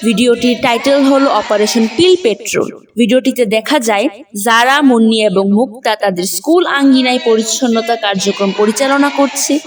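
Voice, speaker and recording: A woman's voice: on the high side, brisk, distant mic, a crowd in the background, close to an everyday voice. A woman playing a formal female television news reporter, reading a scripted report in a declarative way for a cartoon.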